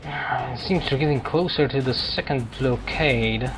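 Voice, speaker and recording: A man giving casual, halting gameplay commentary as he hosts a gaming video. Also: mid-range voice. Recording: game music and battle noise behind. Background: video game music and combat sounds.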